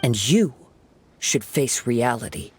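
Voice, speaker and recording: A man voicing a calm, earnest, perceptive young wandering samurai in a video game, with a measured, eloquent delivery. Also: mid-range voice, a little hiss or hum. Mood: firm, reproachful. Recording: background music under the voice.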